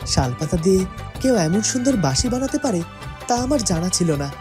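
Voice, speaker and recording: A woman acts as a young prince in a cartoon fairytale. The voice is mid-pitched, kind and dutiful, with music clearly playing underneath. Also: very animated, moderate pace, close to an everyday voice. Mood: admiring, kind. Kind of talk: cartoon character acting.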